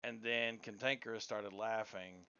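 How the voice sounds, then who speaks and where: A man's voice: on the low side, fairly even intonation, measured pace, pushed and bright, clean tone, no echo. Insistent Southern man arguing his case. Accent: Southern American. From Southern United States. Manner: insistent, argumentative, repeats himself for emphasis. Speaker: debater. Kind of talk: heated online debate. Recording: dull lo-fi sound.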